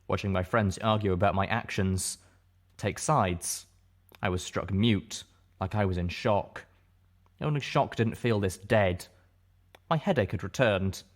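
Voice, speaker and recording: A man reading a first-person story aloud as an audiobook narrator. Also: on the low side.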